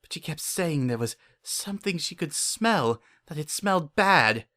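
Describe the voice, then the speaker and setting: A man's voice: on the high side, animated, a little slow. A man playing a paranoid, obsessive father in a dramatic horror fan fiction reading, with an intense, brooding and repetitive way of talking. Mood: distressed, defensive. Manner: intense, repetitive, brooding, swinging between hushed and frantic. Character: paranoid, obsessive, unhinged father protecting his pony daughter.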